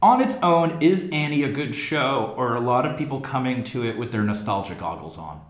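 A man's voice: mid-range voice, lively intonation, fairly quick. A man hosting a casual theatre podcast discussion, speaking analytically and with opinions, posing questions to the group. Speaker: podcast host. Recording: echoey, reverberant room.

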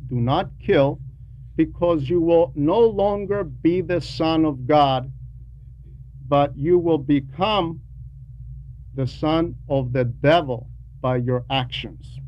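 A man with a slightly high voice preaches an Orthodox homily at a slow pace. He explains deliberately and leans on repetition for emphasis. There is faint noise behind him. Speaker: preacher reading a translated homily. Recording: dull lo-fi sound with background noise.

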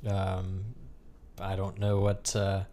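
A man's voice: deep voice, completely flat delivery, takes it slowly, warm tone. A man hosting a casual podcast, chatting in a joking, sarcastic way with his co-host. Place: room with another person.

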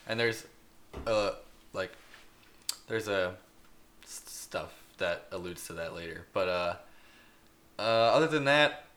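A man on a podcast giving a casual, halting, unscripted game review. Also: low-pitched, a bit bright.

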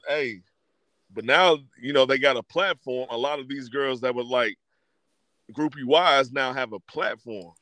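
An American man co-hosting a casual talk show, bantering in animated slang punctuated with interjections. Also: mid-range voice, dry recording. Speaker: sports talk show co-host.

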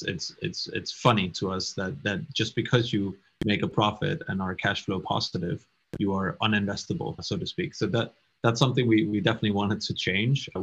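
A young man from Denmark, a startup co-founder talking casually in an interview, quick and stumbling over repeated words. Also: deep voice, a bit bright, slightly rough. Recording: dull lo-fi audio.

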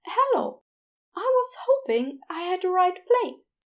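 Woman voicing a shy, high-pitched sweet angelic man. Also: sing-song, slow pace, heavily acted. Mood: surprised, shy. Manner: hesitant, softly gushing. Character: sweet, shy, polite male angelic hospital worker.

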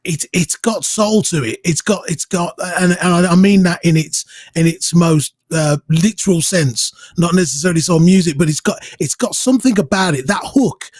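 A man hosting an interview, talking in halting starts and restarts with plenty of enthusiasm. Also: high-pitched, darkish tone, husky, no echo. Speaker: radio interviewer, host. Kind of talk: casual interview.

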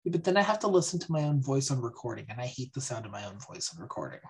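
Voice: mid-range voice, very animated, racing. Cheery cohost, jokey and teasing, keeps laughing. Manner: upbeat, teasing, laughs often. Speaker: podcast co-host. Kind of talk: casual chat.

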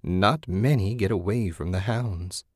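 A man voicing an eerie old woman hermit in a story, folksy and hospitable yet sly, with drawn-out phrasing. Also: fairly low pitch, darkish tone, no echo, clean studio sound. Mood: knowing, unruffled.

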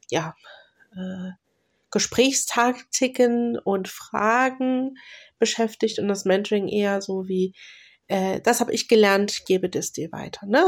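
A woman hosting her own podcast while she has a cold, talking loosely with many fillers, restarts and throat-clearing. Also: mid-range voice, a little mellow. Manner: clears throat now and then. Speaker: podcast host and mentor. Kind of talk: solo podcast talk.

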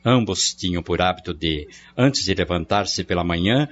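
Deep-voiced male radio narrator. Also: animated, lo-fi sound, slight background noise. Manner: measured, formal. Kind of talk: scripted radio drama narration.

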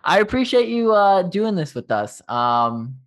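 A man's voice: high voice, very animated, measured pace. Laid-back Texan man hosting a chat. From Texas. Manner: laid-back, rambling with fillers and slang. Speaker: podcast host. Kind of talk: casual interview chat.

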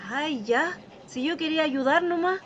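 A woman's voice: high voice, moderate pace, a bit bright, highs cut off. A woman playing a prying, chatty small-town Chilean gossip in a naturalistic radio drama. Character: nosy, gossiping small-town female coworker.

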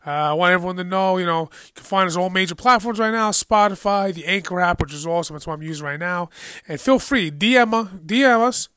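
A male podcast host talks fast in a high, bright voice, sniffly from a cold. He is excitable and slangy, and the sound is close and dry on his microphone. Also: clear, resonant tone, no room sound. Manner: excitable, slangy, says "bro" a lot. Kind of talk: casual sports podcast chat.

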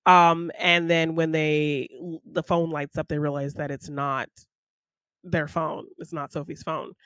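A woman's voice: low voice, level tone, unhurried, fairly bright tone, clean tone, dry recording. A woman co-hosting a podcast, casually retelling a film in an informal, conversational way. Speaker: podcast co-host.

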